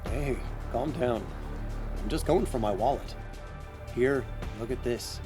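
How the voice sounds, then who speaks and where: An actor playing a brisk, guarded, confident adventurer and heir in an audio adventure drama, with theatrical, expository delivery. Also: male voice, mid-range voice, over music, close to an everyday voice. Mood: guarded, firm.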